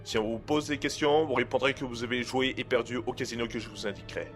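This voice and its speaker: A man playing a cold, menacing blackmailer in an audio drama, speaking in a smooth, condescending and formal way. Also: mid-range voice, fairly even intonation, fast, a bit bright, faint music behind, natural voice. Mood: coldly threatening. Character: cold, menacing male blackmailer and hacker, smugly in control. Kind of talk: amateur audio drama acting.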